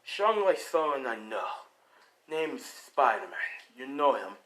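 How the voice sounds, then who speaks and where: A man voicing a dim-witted, bloodthirsty rhino villain with a breathy, blunt and threatening delivery in a fan superhero story. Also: medium pitch, very animated, slow, bright, forceful tone, heavily acted. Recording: clearly noisy home recording.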